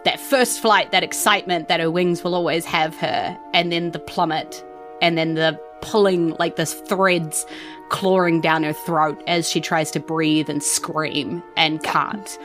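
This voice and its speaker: A woman's voice: low voice, steady tone, measured pace, a bit bright. New Zealand woman game master, music underneath. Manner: informal, rambling, self-deprecating. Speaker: game master and podcast host. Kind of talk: casual live-streamed game narration and chatty sign-off. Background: faint music.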